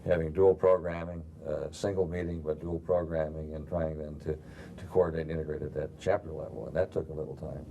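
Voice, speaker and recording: A man's voice: little pitch movement, brisk, few pauses, warm tone, slight background noise. An older man from Ohio explaining things off the cuff as a panelist. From Ohio, USA. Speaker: panelist, former society president. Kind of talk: unscripted explanation. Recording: rumbly 1990s video recording.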